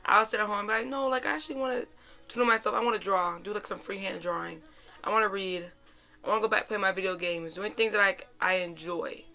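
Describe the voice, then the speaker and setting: Bright, slightly raspy young woman chatting. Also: mid-range voice, steady tone, fast. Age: young adult. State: hoarse after a weekend out. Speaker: podcast host. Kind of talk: casual chatty life-update vlog.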